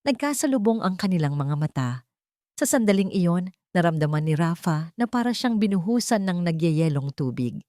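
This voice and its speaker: A Filipino woman narrates a dramatic story in a fairly low voice. The recording is dry and close, with very little room around it. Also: very animated, normal speed, warm tone, no echo.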